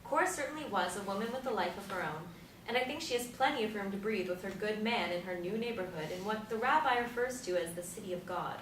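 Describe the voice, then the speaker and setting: A woman acting in a stage play as an aging Beatnik, thoughtful and dryly teasing, with deliberate delivery. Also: mid-range voice, a bit bright, natural voice. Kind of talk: stage play scene reading. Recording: echoey room from far off, faint noise.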